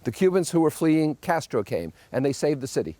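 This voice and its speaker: A man's voice: a little high, very animated, brisk, warm tone, dry recording. Opinionated man with a New York accent. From New Jersey, United States. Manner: emphatic, opinionated, wry asides. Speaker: podcast host. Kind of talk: opinionated monologue.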